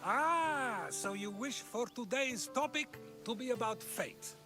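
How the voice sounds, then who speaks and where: Playful philosopher, high voice all over the place, teasing, game music behind. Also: male voice, expressive, takes it slowly, heavily acted. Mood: amused, teasing. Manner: theatrical, teasing, rhetorical questioning. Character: playful, probing male Greek philosopher. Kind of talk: video game cutscene acting.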